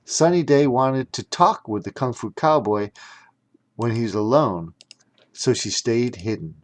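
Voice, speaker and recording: A man's voice: medium pitch, a little slow. A man narrating a children's audiobook in a theatrical, playful storytelling manner. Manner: theatrical, with playful sound words. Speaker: audiobook narrator reading his own story.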